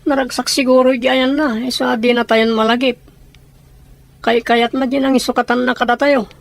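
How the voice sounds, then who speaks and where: Woman playing a glum, high-voiced older brother. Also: little pitch movement, normal speed, no echo. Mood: resentful. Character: older child brother, hurt, resigned, quietly bitter. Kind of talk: radio drama acting.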